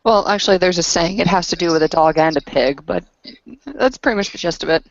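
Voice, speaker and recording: A woman playing a tabletop roleplaying game, chatting casually with a creaky voice. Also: on the low side, fast, no room sound. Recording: close dull lo-fi recording.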